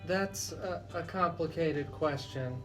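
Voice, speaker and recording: A man with a fairly high voice talks as a legal expert on a radio show. He explains in a deliberate way, pausing within his sentences. Music plays clearly underneath his speech. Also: a bit bright.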